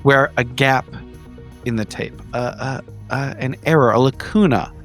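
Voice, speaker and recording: A man narrates a horror roleplaying recap in a mid-pitched voice. His phrasing is measured, formal and wordy, like careful storytelling, with music playing underneath. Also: fairly even intonation, fairly bright tone. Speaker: player in a tabletop horror roleplaying game. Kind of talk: in-character recap narration for an actual play. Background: background music.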